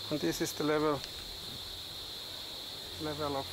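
Male farm vlogger, non-native English, halting and slow, slightly higher-pitched, recorded outdoors amid loud insects and animals. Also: little pitch movement, background noise. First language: not English. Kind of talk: casual vlog talk to camera. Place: outdoors on a rural farm clearing among trees. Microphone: camera held at arm's length.

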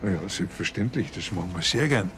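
An actor playing a slow, naive, argumentative Bavarian village policeman in a dry police comedy. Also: sits low, lively intonation, fairly bright tone, a little hiss or hum. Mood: bemused. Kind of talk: dry comic dialogue. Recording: echoey church hall, music behind.

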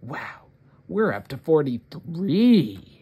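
A woman hosting story time, reading a children's picture book aloud in an expressive, deliberate way for young children. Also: low voice, husky.

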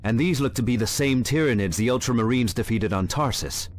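An actor playing a studious, earnest younger space marine sergeant in scripted video game briefing dialogue. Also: male voice, medium pitch, brisk, natural voice. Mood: thoughtful, earnest.

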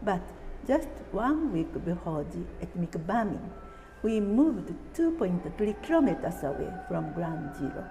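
A woman in her eighties, a native Japanese speaker, reading her prepared testimony in English carefully and deliberately. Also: fairly low pitch, sing-song, warm tone, in a large hall, slight background noise, poor audio. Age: 80s. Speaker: atomic bombing survivor. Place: indoor room, seated at a table.

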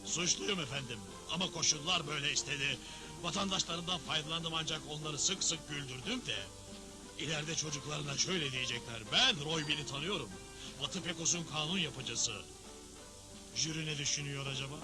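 An actor playing a pompous, theatrical crooked judge in a dubbed Western cartoon, declaiming with self-important authority. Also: male voice, fairly high pitch, fairly quick, lightly acted. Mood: humbled, self-pleased. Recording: loud background music.

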